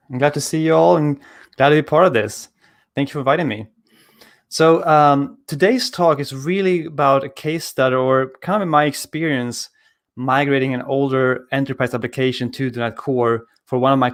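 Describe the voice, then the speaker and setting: Man, 30s, relaxed remote presenter, lots of ums, studio mic at home. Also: mid-range voice. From Sweden. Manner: relaxed, conversational, frequent filler sounds. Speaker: conference speaker. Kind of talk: technical talk over a video call. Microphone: studio mic on arm, video call.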